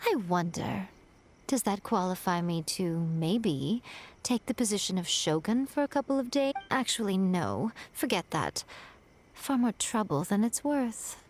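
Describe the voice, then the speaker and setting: A woman's voice: medium pitch, lively intonation, slight background noise, close to an everyday voice. Woman acting a poised, teasing fox-spirit shrine maiden. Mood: playful. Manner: poised, playful, deliberate. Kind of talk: anime game voice acting. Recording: music behind the voice.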